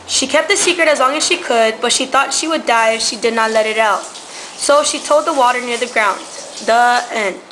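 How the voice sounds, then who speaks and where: A young woman narrates a tale from a script in a high, bright voice. It is an amateur recording with faint background noise. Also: normal speed, poor audio. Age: teenager.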